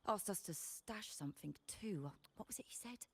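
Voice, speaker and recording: A woman's voice: mid-range voice, lively intonation, normal speed, vocal fry, slightly rough, no echo. A woman voice actor playing a blunt, practical commoner trader in a video game, with a frank, sardonic, informal manner. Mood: defensive.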